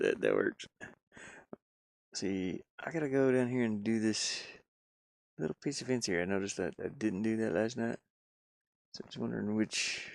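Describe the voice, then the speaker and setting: A man giving casual, halting live commentary as he works on game art, muttering and thinking aloud. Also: fairly low pitch, vocal fry, dry recording. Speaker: streamer and hobby game designer.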